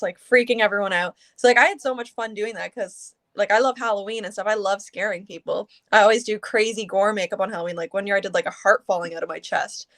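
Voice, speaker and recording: A young woman in her early twenties, a singer from Canada, chats in an interview. She talks in a loose, rambling way with plenty of fillers, her voice sitting at an ordinary mid pitch. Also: fairly bright tone. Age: 20s. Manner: chatty, many fillers, quick laughs. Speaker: guest singer. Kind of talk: casual interview chat.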